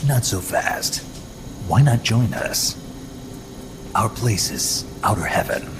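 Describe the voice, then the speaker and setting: An actor playing a stoic, gruff veteran soldier in a video game, terse and dry, with a gravelly voice. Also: male voice, lowish voice, expressive, takes it slowly, noisy background. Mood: dry, wry. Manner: terse, understated. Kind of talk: dramatic video game acting.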